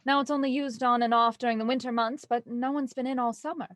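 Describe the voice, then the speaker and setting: An actress as a well-bred young heiress in a period radio drama. Her voice is high and closely recorded, very dry of room sound, with polite, proper phrasing and an anxious, easily flustered edge. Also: steady tone, fast talker, no echo, natural voice. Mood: polite, uneasy. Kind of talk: audio drama acting, Victorian murder mystery radio program.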